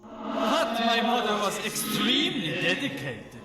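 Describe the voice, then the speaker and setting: A man voicing a stoic, loyal ghost mercenary archer in a fantasy game, speaking in a measured, formal way. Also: very high-pitched, very animated, full character voice. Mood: fond, reflective. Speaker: game follower character. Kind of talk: scripted fantasy game dialogue. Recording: echoey voice under loud music.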